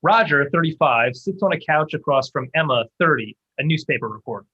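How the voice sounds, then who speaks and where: A man's voice: on the high side, animated, a little fast, bright, forceful tone, slightly rough. A man reading stage directions as scripted narration for a table read, on a muffled, lo-fi microphone.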